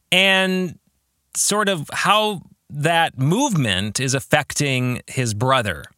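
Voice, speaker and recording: A man hosting a podcast as an author, giving a reflective book discussion alone in a measured, deliberate way with drawn-out emphasis. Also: fairly high pitch, sharp tone, no room sound, studio quality.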